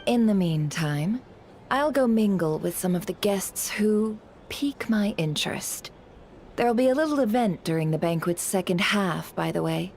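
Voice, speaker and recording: A woman's voice: medium pitch, moderate pace, darkish tone, slight background noise, close to an everyday voice. A woman playing a poised, shrewd and influential lady in a game, speaking with formal composure and persuasion. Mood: smooth, confiding. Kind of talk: scripted game voice acting. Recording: music behind the voice.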